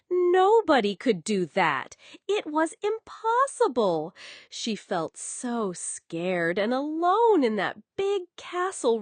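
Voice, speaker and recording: A woman's voice: a little high, no echo. A woman narrating a scripted bedtime story for young children, coddling the listener with endearments.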